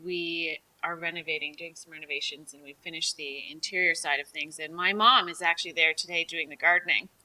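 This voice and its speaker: A woman's voice: low voice, fairly even intonation, a little fast, few pauses, sharp tone, poor audio. A woman on a talk show panel, sounding nasal and stuffy from a cold, chatting enthusiastically with many ums. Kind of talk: casual panel chat.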